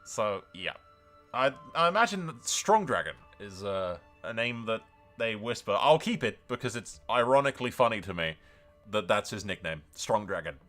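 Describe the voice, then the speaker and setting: Animated, bright-voiced man doing game commentary. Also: fairly low pitch, normal speed, faint music behind. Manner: informal, sweary, wryly joking. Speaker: video game streamer, host. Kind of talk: casual gaming commentary.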